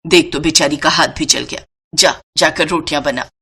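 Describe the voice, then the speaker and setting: A woman's voice: low-pitched, normal speed, sharp tone, pitched below the performer's own voice. Lady doing stern older saas in a story cartoon, bossy, scornful, scolding, bit of background noise. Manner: scolding, taunting.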